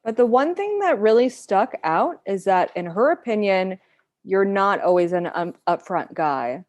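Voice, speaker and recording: A woman's voice: mid-range voice, expressive, a little slow, a little mellow, natural voice. A woman playing a frank, skeptical friend who reproaches someone, in a table read of a screenplay. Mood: reproachful.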